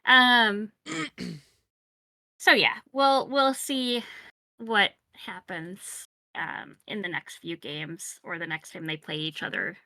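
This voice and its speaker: Bright-voiced woman co-host. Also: mid-range voice, slow, no room sound, poor audio. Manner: agreeable, leads segments. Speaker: podcast co-host. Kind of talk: casual sports chat.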